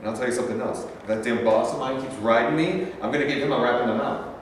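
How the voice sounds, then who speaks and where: A man's voice: mid-range voice, quick pace, slight background noise, natural voice. An actor playing a frustrated, hot-tempered young working man, defensive and prone to outbursts, in a staged reading. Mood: restless. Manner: defensive, bursts into shouting. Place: large bare rehearsal studio. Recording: echoey room from a distance.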